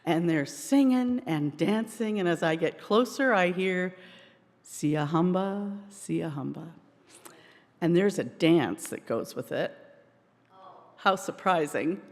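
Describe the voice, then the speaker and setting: A worship leader speaking to a congregation in an informal, expressive way during a church service. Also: mid-range voice, measured pace. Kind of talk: church service address, prayer and personal anecdote. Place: indoors in a church. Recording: reverberant church hall.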